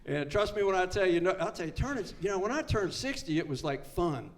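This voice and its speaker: A man's voice: high-pitched, expressive, fast talker. Folksy earnest man in his seventies. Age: 70s. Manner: folksy, earnest, repeats key lines for emphasis. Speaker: recovery meeting speaker. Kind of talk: personal story told to a live meeting.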